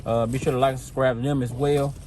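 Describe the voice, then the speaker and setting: Male vlog host, average pitch, informal and easygoing product talk, noisy background. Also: flat delivery, quick pace, a little mellow. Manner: informal, laid-back, addresses viewers as guys and y'all. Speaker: host of car audio product video. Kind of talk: casual product demo talk. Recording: clear background noise.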